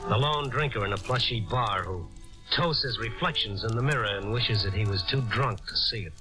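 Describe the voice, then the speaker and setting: An actor as a world-weary reporter over loud music. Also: male voice, fairly low pitch, normal speed, lightly acted, rough recording. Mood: brooding. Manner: moody, reflective storytelling. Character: world-weary, compassionate male newspaper reporter. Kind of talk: 1950s radio drama narration. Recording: old radio recording under loud music.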